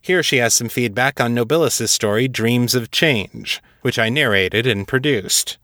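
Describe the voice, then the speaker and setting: A man's voice: medium pitch, unhurried, bright, forceful tone, no room sound, clean studio sound. A man narrating and presenting as a podcast host in a scripted, read-aloud style.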